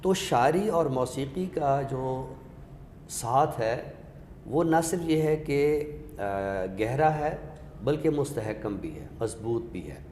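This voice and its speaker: A man's voice: mid-range voice, lively intonation, a little mellow. Male literary expert, Pakistani accent, scholarly talk-show delivery; hall reverb, faint background noise. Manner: scholarly, deliberate, explains by question and example. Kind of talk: explanatory literary discussion.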